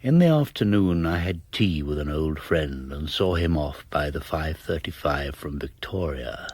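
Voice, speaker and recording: A cold English killer in a radio play. His voice is extremely low and slightly husky, recorded close and dry. He sets out his confession with measured, clinical precision. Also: animated, a little mellow, dry recording, heavily acted. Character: coldly methodical male murderer confessing in precise detail. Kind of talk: British radio drama monologue.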